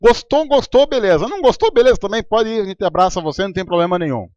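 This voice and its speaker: Lively high-pitched Brazilian pastor. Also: male voice, fast, dry recording, dull audio. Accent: Brazilian Portuguese. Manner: conversational preaching with anecdotes. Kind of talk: sermon.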